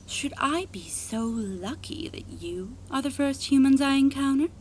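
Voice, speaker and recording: A woman playing a mocking beast spirit in an audio drama, with theatrical, drawn-out delivery. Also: sits high, lively intonation, a little hiss or hum. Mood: gleeful menace. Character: taunting ancient beast spirit possessing a human.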